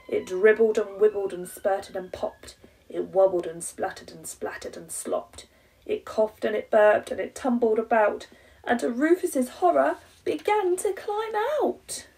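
An English woman in her thirties reads a rhyming children's story like a primary teacher. Her delivery is slow and dramatically emphasised, with a creaky edge, recorded a little way from the microphone. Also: mid-range voice, warm tone, far from the mic, poor audio. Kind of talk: expressive children's storybook reading.

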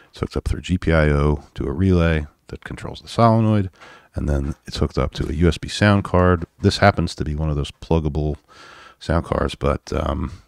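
Really deep gravelly guy, off the cuff tech demo, kinda halting, pokes fun at himself. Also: no echo, clean studio sound. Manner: halting, self-deprecating. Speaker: electronics hobbyist presenter. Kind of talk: casual unscripted project demo. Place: indoors at a home workbench.

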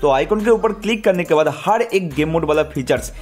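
Higher-pitched man narrating a tech tutorial over music. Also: expressive, brisk, a little mellow. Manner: step-by-step explaining, addressing viewers directly. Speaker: host of a mobile gaming channel. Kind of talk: scripted tutorial narration of phone features.

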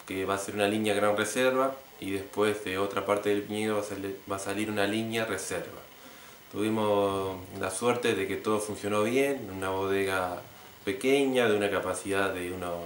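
Winemaker, male, thirties, explaining in interview style, slightly deep voice in a reverberant room. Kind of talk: interview talk to camera. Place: indoor room with brick wall. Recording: echoey room with faint noise.

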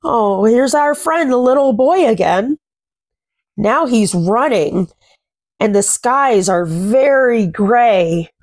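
A woman in her thirties hosts a children's story reading. Her voice sits a little high and she takes her time, very slowly walking young listeners through the book. She phrases things simply and deliberately, pausing to comment and ask questions. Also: animated, darkish tone. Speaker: children's read-aloud host. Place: small furnished room at home.

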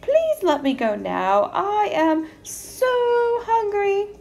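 A woman's voice: very high voice, huge pitch swings, takes it slowly, gravelly, darkish tone, pitched above the performer's own voice, exaggerated voice. A woman voicing a timid little young male billy goat in a storybook, with a small, pleading voice. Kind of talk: storybook character voice.

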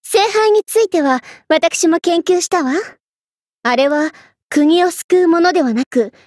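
Young queen, very high girlish pitch, imperious, vain, easily flustered tsundere, anime game, dry close sound. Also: animated, a little slow, darkish tone, dry recording. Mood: haughty. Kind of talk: Japanese anime game character voice acting.

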